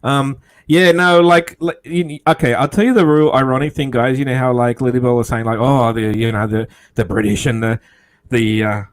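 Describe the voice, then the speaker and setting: Man, stream host, relaxed, hesitant, casual talk with fillers. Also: mid-range voice, moderate pace. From New South Wales, Australia. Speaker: livestream host. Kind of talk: casual chat.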